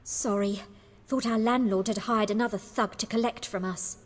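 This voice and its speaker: An actress playing a wary, weary street performer in a fantasy video game, with a husky, breathy voice. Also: female voice, mid-range voice, steady tone, moderate pace, in a large hall, natural voice. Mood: defensive.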